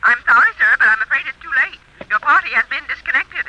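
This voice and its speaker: A man's voice: very high voice, expressive, quick pace. A man playing a polite, impersonal telephone operator in an old radio drama, through a telephone filter. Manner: formal, courteous. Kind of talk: 1950s radio drama acting. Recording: very noisy old radio phone voice.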